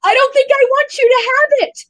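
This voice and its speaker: A shrill whiny wife. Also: female voice, steady tone, fairly quick, a bit bright. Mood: nagging. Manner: whiny, plaintive, teasing. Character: whiny nagging wife at bedside. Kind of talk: comic radio play acting.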